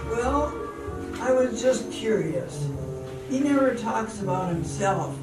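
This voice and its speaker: Woman acting a slow, wistful young lady, echoey hall. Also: on the high side, lively intonation. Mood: curious. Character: polite, wistful woman dancer, secretly in love. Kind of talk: amateur stage radio drama acting. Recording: very echoey hall from far off, music.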